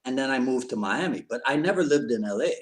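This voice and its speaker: A man's voice: mid-range voice, animated, fast. Chatty man hosting a show. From Barbados. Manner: chatty and jokey, laughs often, repeats words as he goes. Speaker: podcast host. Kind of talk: casual live-stream chat.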